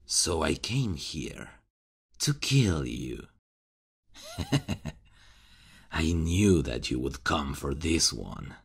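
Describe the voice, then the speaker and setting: Very deep gravelly guy, slow teasing menace, vigilante killer played by a man. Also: very animated. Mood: mocking. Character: calm, mocking vigilante killer who hides in the closet. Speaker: ASMR roleplay creator. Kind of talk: ASMR horror roleplay.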